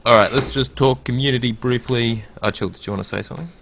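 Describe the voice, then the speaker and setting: A man's voice: medium pitch, fast, vocal fry, a bit bright, a little hiss or hum. A man hosting a casual gaming podcast who runs through news and reads a write-up aloud. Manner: reads a write-up aloud in a mock movie-trailer voice. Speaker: podcast host.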